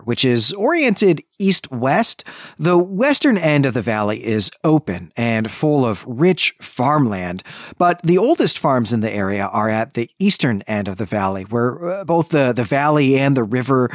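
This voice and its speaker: A man's voice: mid-range voice, no room sound, clean studio sound. A man co-hosting a podcast, giving a chatty, detailed literary recap in an explanatory way. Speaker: podcast co-host.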